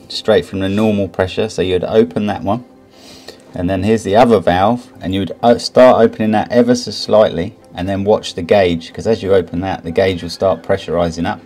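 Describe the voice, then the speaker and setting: Deep-voiced man explaining plainly. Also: a little mellow. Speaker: vlogger, co-host. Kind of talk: casual explanatory vlog talk.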